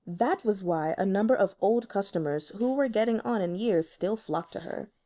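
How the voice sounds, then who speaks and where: A woman's voice: medium pitch, lively intonation, normal speed, warm tone. A woman reading fiction aloud as an audiobook narrator, in a dramatic storytelling style.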